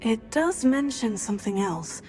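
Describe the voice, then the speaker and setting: Casting: a cautious, curious schoolgirl witch for a fantasy game; lightly high voice, measured delivery, music behind. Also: very animated, darkish tone. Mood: focused, inquisitive. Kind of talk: video game voice acting.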